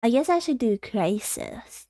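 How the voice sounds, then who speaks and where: A young woman with a slightly high voice chats casually on a live game stream. She takes her time, hesitating and rambling half to herself. Music plays along behind her voice. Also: very animated, measured pace. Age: young adult.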